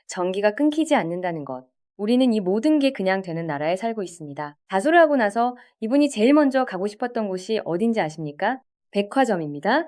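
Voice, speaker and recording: A woman's voice: medium pitch, a little mellow. A woman narrating a scripted story in a conversational way, speaking directly to listeners as a storyteller would. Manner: conversational, addresses listeners with rhetorical questions.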